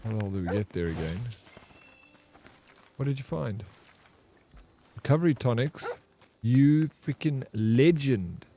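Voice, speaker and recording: A young man casually commenting on his gameplay as a streamer, with a flat, monotone delivery. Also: mid-range voice, unhurried, long pauses, a little hiss or hum.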